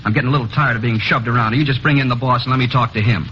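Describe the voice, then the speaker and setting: A man's voice: steady tone, very fast, a bit bright, natural voice. A man playing a jittery confessing killer. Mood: pleading. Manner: edgy, whiny, rising to shrill protests. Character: nervous, defensive young man confessing to a murder. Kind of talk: 1950s radio drama acting. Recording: dull, hissy 1950 radio transcription.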